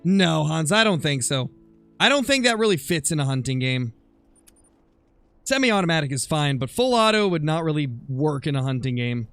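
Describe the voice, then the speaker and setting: A man with a somewhat high-pitched voice talks casually on a gaming livestream. He thinks aloud in a conversational way while replying to viewers. Faint music plays behind him. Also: sing-song, normal speed, vocal fry, a bit bright, clear, resonant tone, dry recording. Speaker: live streamer, gamer. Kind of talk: casual livestream chat over gameplay, answering viewer comments.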